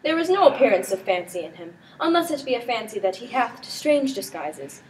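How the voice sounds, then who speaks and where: A teenage girl playing a teasing, excitable prince in an amateur Shakespeare performance. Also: a little high, a little fast. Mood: playful teasing. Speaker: student performer. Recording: distant mic with background noise.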